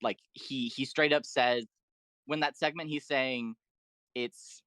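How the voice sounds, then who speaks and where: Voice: medium pitch, fairly even intonation, takes it slowly, a bit bright, no room sound. A podcast guest talking through fan theories in an enthusiastic, explanatory way and in detail. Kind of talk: enthusiastic fan lore discussion.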